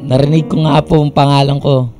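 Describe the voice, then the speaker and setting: A man's voice: on the high side, little pitch movement, brisk, mellow, close to an everyday voice. A man playing a devoted, corny young boyfriend in a Tagalog radio drama. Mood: playful flattery. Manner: earnest, sweet-talking. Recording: clear guitar music underneath.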